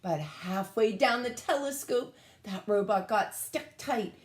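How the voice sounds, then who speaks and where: A woman reading a storybook aloud to children in a dramatic, emphatic, expressive way. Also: mid-range voice, unhurried. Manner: dramatic, emphatic, engages listeners with questions. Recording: echoey room.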